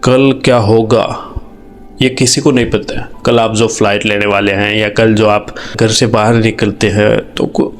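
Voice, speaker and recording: An Indian man with a fairly low voice speaks over faint music. He talks earnestly and informally, like a host reflecting aloud to his viewers. English phrases slip into his conversational delivery. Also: little pitch movement, unhurried. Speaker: video host. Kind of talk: informal, reflective commentary to camera.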